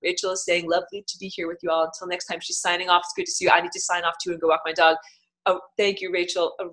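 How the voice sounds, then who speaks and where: Woman, 30s, webcam class host, lowish voice, animated and expressive, reads chat aloud. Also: quick pace. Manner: animated, gestures a lot, sudden loud bursts. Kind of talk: live-streamed lesson, reading viewer comments. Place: small indoor room with a patterned wall hanging.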